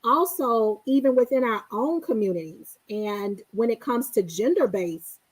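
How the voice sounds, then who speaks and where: A woman co-hosting a casual conversation, making measured, earnest points with a few hesitant fillers. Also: fairly high pitch, expressive, slightly rough, no echo, poor audio. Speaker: co-host. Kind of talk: casual chat.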